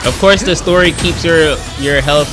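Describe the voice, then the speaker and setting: Slangy young man, higher-pitched, over game music. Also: normal speed. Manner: slangy, informal, rambling. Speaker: gaming video host. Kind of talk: casual gameplay commentary.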